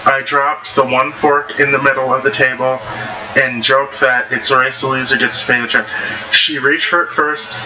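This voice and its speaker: A man's voice: medium pitch, flat delivery, moderate pace. A man casually reading online stories aloud, stumbling over words at times and adding blunt, slangy commentary, with noisy background ambience. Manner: blunt, slangy, informal reactions. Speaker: online story reader and commentator.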